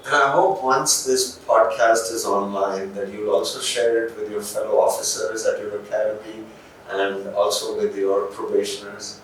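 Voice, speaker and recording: A man's voice: on the low side, steady tone, moderate pace. A man hosting a podcast interview, asking questions in a polite, articulate, conversational but formal way. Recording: very echoey room, distant mic, faint noise.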